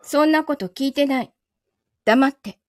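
A woman's voice: high voice, a little slow, no echo, studio quality. A woman playing a betrayed, possessive young lover in a live audio drama, with a Kansai Japanese accent and a controlled, coldly teasing manner. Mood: cold, commanding.

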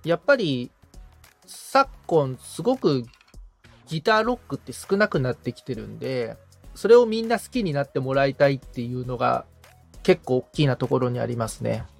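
Gravelly, expressive male podcast assistant. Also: mid-range voice, moderate pace, faint music behind. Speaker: podcast assistant, guitar repairman. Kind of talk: casual polite discussion.

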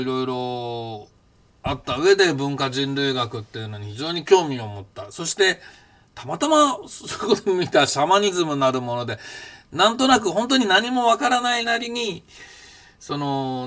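Older guy thinking out loud, rambly, lots of ums, voice swings up and down a lot. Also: a little high. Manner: rambling, musing aloud with many fillers and restarts. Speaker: podcast host recounting his past. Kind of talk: casual reflective monologue.

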